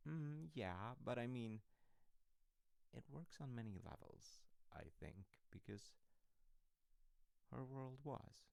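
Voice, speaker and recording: A man playing a gentle, affectionate dad who tells his child a myth in an intimate, reflective ASMR audio roleplay, with soft pauses. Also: medium pitch, animated, vocal fry, clear, resonant tone, no room sound. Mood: amused, warm. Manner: unhurried, reflective, with soft pauses and hushed moments.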